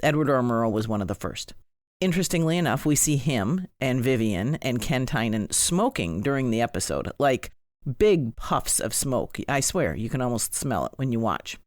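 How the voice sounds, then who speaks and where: A man's voice: medium pitch, big pitch swings, moderate pace, no echo, studio quality. A man hosting a podcast, giving conversational commentary with wry, informal asides. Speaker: podcast host.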